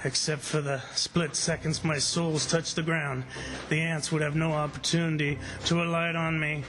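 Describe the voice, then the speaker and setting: An actor playing a bold, stubborn plantation owner, commanding and resolute, in old-time radio adventure style. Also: male voice, a little high, level tone, normal speed, natural voice, poor audio. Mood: determined, intense. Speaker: lead actor in radio drama.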